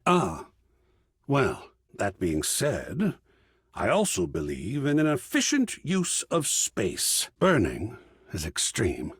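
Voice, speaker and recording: A man voicing an excitable, cultured art curator character in a video game, theatrical and erudite, with precise diction and a husky, breathy voice. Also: lowish voice, slow pace, no room sound, studio quality, exaggerated voice. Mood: excited, wistful. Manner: effusive, erudite, precisely enunciated, dramatic. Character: excitable, cultured art object come to life, gallery curator.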